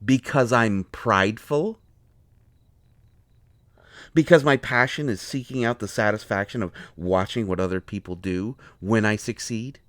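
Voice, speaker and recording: A man playing an indignant, hurt healer mage in a fantasy roleplay audio, expressive, sarcastic and exasperated. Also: on the low side, measured pace, no echo, clean studio sound. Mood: indignant, wounded. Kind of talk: dramatic roleplay audio acting.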